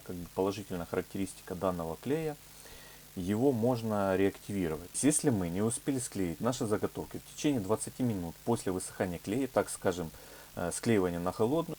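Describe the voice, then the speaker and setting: Male craft demonstrator, lowish voice, informal and explanatory with hesitant fillers, over noticeable humming noise. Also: a little mellow. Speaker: leathercraft workshop tutorial presenter. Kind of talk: informal hands-on product demonstration. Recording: clear background noise with hum.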